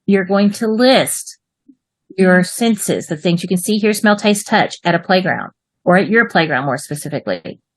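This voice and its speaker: A woman's voice: lowish voice, animated, moderate pace, dry recording. A woman with a Texas accent teaching an online writing class to children. She is upbeat and encouraging and ends with folksy rhyming goodbyes. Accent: Texan. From Texas, United States. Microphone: video call.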